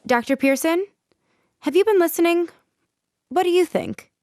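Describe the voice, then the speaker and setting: A woman's voice: very high voice, moderate pace, darkish tone, dry recording, studio quality. A woman playing a concerned, inquisitive broadcast host in an audio drama.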